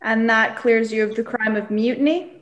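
A young woman playing a stern, blustering gentleman squire in a radio play. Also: on the high side, moderate pace, muffled recording, close to an everyday voice. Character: blustering, indignant English squire, male gentleman. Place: indoor room, remote video recording. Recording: echoey room, remote recording.

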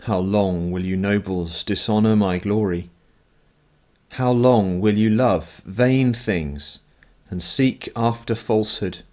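A man speaks as a priest leading evening worship. His voice is on the low side and his delivery is very slow. He reads psalms and prayers solemnly, setting out each phrase in turn. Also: darkish tone. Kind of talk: liturgical prayer and scripture reading.

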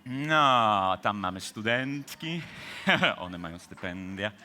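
An actor as a jovial Parisian charmer, unhurried and swooping. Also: male voice, on the low side, expressive, bright, forceful tone, full character voice. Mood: roguish persuading. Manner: colloquial, teasing, chuckling, drawn-out theatrical phrasing. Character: jovial, cheeky Parisian man about town, laid-back charmer. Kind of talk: operetta radio play acting.

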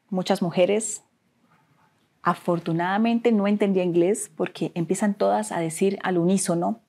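A woman's voice: on the high side, darkish tone. A young woman with a Colombian Spanish accent recounts her own experience in an interview. She talks earnestly, stumbles and repeats words, and drops almost to a whisper when things get heavy. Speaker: interview guest. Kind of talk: emotional personal storytelling.

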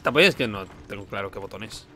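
A man's voice: lowish voice, animated, fast talker, pushed and bright, soft background music. A man with an accent from Spain giving casual, sarcastic gameplay commentary as a streamer. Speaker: video game streamer.

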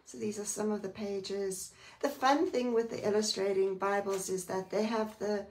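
A woman hosting a live Bible journaling stream, chatty and friendly, greeting viewers by name, a little croaky today. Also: medium pitch, fairly even intonation, moderate pace, darkish tone. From South Africa. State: slightly croaky. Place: indoors at a craft table. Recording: distant mic, faint room noise.

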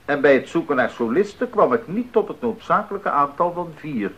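An exacting composer in a radio drama, a man with an animated, higher-pitched voice. His delivery is formal and precise. The sound is somewhat muffled. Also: fairly quick, husky, heavily acted. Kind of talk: Dutch radio drama acting. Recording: dull 1980s radio recording.

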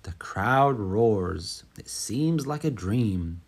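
A man reading a children's picture book aloud as an expressive, dramatic storyteller. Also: on the low side, drawn-out words, husky.

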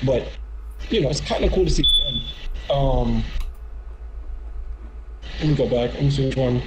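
A man's voice: mid-range voice, moderate pace. A young man in casual live-reaction chat, talking in a slangy way as a streamer. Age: young adult. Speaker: streamer reacting to a game showcase. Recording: noisy home stream audio.

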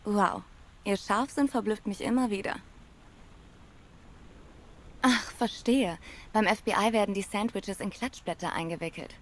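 An actress playing a poised, sharp-tongued young cat tennis star in a noir game, cool and sardonic. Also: female voice, medium pitch, unhurried, pauses often, lightly acted. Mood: sardonic, guarded. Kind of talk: cinematic noir game acting.

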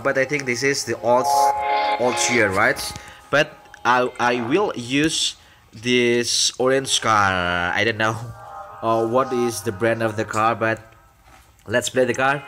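An Indonesian man speaking English with an Indonesian accent as his second language, commenting casually on a mobile driving game with halting phrasing and many fillers. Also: mid-range voice. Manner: halting non-native English, frequent fillers, excited outbursts. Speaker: gameplay channel host. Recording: loud game music behind voice.

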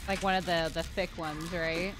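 A woman's voice: fairly low pitch, steady tone, moderate pace, noisy background. A woman game streamer chatting casually during play. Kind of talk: casual gaming stream chat.

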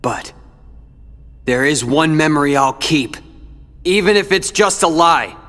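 A man's voice: a little high, expressive, a little slow. An actor playing a somber, determined young man who knows he is a copy, in a video game cutscene. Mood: resolute. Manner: quiet, resolute, somber. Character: determined young male replica, quiet and resolute. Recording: reverberant game audio with faint music.

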